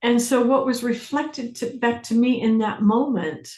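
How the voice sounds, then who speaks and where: A woman facilitating a self-inquiry class, speaking deliberately with pauses, questions and phrases repeated for emphasis. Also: a little high, dark tone. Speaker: workshop facilitator leading a group class. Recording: roomy echo on the voice.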